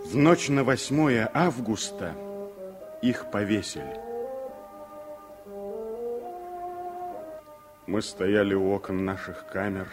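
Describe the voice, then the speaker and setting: An actor playing a grave former political exile in a radio play, recounting his memories in a solemn, weighty way. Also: low-pitched. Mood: grieving, solemn. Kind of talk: Soviet radio play dramatic storytelling.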